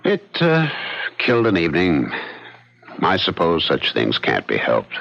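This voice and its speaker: Vintage radio lead: evasive, anxious businessman, deep and expressive, halting delivery, dull sound. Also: vocal fry, over loud music, full character voice. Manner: earnest, halting, defensive. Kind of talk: old-time radio drama acting. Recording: muffled old radio recording.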